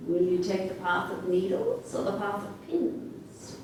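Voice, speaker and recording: A woman's voice: very animated, unhurried, a little mellow. A woman voicing a sly, predatory male werewolf posing as a grandmother in a folktale, coaxing, bossy and falsely kindly. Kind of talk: live folktale storytelling. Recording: echoey room, distant mic, faint rumbling noise.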